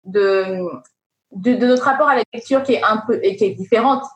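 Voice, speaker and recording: A woman hosting an interview, asking questions slowly, with many pauses and fillers as she searches for her words. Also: on the high side. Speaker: interview host. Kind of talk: conversational interview. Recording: roomy sound with faint music.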